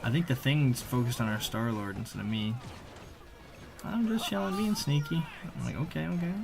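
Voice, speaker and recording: A man giving casual, slangy voice-over commentary on gameplay, conversational with animated reactions. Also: medium pitch, normal speed, creaky, noisy background. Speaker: gaming video creator.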